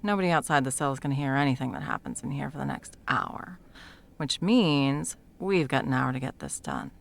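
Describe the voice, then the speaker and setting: A woman playing a sardonic, foul-mouthed sound mage in an intimate fantasy audio roleplay, talking sarcastically and emphatically with a creaky edge. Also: rumbling low, sing-song, fairly quick, full character voice. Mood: exasperated. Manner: sarcastic, emphatic, swearing freely. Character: sardonic, foul-mouthed female sound mage, guiltily helping an old friend.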